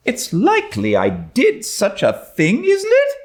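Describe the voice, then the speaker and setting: Man doing an excitable high-voiced little girl. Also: a little slow, heavily acted. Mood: indignant. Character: excitable, loyal, gossipy young girl. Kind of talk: dramatised audiobook reading of a classic novel.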